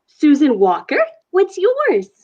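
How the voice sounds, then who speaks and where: A woman's voice: sits high, wildly swooping pitch, takes it slowly, darkish tone, lo-fi sound, heavily acted. A woman playing a polite, sensible, skeptical young girl with precise, matter-of-fact speech in a 1940s-style radio play. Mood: doubting, frank.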